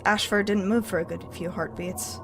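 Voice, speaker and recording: A woman voicing a stern, fiercely protective older mother cat with a firm, measured delivery in an animated fan drama. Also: mid-range voice, close to an everyday voice. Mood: grave, recounting. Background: dramatic background music.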